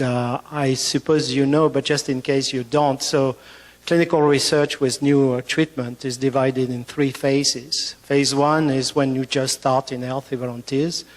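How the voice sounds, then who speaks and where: French-accented male doctor presenting, halting delivery full of fillers, mid-pitched, reverberant hall sound. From France. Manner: hesitant, many fillers and restarts. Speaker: physician giving a conference talk. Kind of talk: conference presentation. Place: conference hall.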